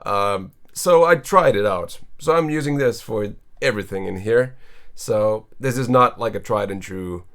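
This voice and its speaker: A young man with a naturally low voice talks through his vocal mix in a relaxed, rambling way. He fills pauses with "um" and "uh" as he explains his plugin settings. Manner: casual, rambling, with filler words and laughs. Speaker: singer and mixing engineer.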